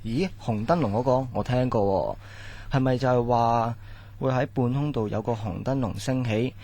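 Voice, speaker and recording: A man's voice: mid-range voice, moderate pace, warm tone, close to an everyday voice. Man as cocky young student. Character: cocky young male student, dismissive, boastful. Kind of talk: Cantonese radio drama acting.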